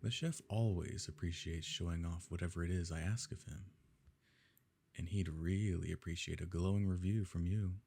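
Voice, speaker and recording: A man playing a smug, wealthy evil nobleman in an intimate ASMR roleplay, suave and condescending, with a gravelly, husky voice. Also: low voice, mellow, heavily acted. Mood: smug, businesslike. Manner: suave, condescending, leisurely formal phrasing.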